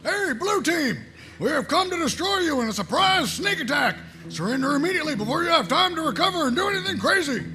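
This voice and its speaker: Blustering, very high-pitched army sergeant. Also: male voice, very animated, quick pace, bright, forceful tone, full character voice, poor audio. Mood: belligerent. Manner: barking, pompous, theatrical. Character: blustering, gung-ho older male army sergeant. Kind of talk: animated comedy table read.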